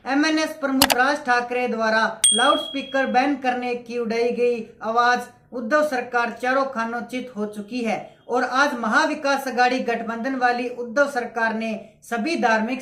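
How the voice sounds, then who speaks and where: Female news presenter, emphatic delivery, raised pitch, roomy echo. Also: steady tone, normal speed, few pauses, fairly bright tone. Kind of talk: scripted political news narration.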